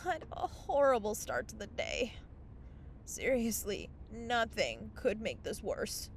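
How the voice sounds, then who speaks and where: A woman performs a young fairy in an audio comedy, sardonic and exasperated. Her high voice moves around dramatically, with clear background noise behind it. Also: big pitch swings, slow, exaggerated voice. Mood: groggy, exasperated.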